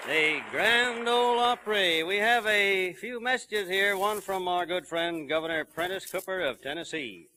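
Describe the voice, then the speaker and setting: A man announces live on old-time radio. His voice sits fairly high and cuts through sharply. He is courteous and folksy, leaning firmly on his words, captured on a vintage broadcast recording. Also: a little slow, hardly any pauses, pushed and bright. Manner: folksy, courteous, emphatic delivery. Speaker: radio show host.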